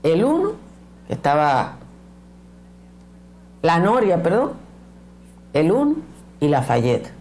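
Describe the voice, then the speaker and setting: An older woman with a Dominican Spanish accent and a somewhat low voice gives opinion commentary to camera. She is blunt and emphatic, gesturing as she argues. Her voice is close and clean through a lapel mic in a small studio set. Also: a little mellow. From Dominican Republic. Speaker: political commentator.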